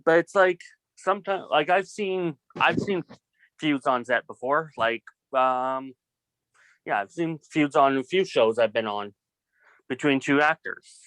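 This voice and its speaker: A man talking casually in a group discussion, halting and repeating himself, often leaning on "like". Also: a little high, lively intonation, fairly bright tone, no echo, poor audio. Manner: halting, repetitive, heavy use of "like". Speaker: film production student in a group meeting.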